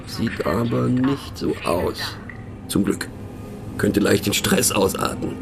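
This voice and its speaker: Slow, ironic middle-aged man, lively pitch. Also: creaky, background noise, full character voice. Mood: confiding, sardonic. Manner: thoughtful, ironic, hesitant, breaking off to question the listener. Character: musing, mocking male narrator, rambling to fellow train passengers. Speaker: narrator. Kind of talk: radio play monologue.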